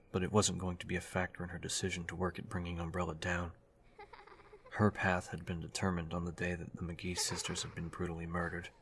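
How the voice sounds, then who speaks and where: A man's voice: low-pitched, a bit bright, a little hiss or hum. A man reading scripted dramatic narration for an audiobook. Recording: music behind the voice.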